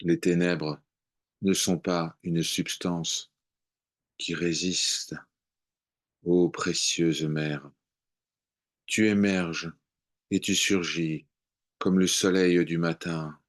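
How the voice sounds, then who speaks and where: A man reciting devotional poetry in a measured, contemplative way, with long pauses between short phrases. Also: low-pitched, level tone. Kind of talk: slow devotional poem recitation.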